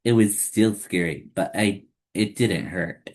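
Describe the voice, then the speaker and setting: A man reads a picture book aloud as a storytime host for children. His voice sits low, and he takes the narration slowly, phrase by deliberate phrase. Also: darkish tone.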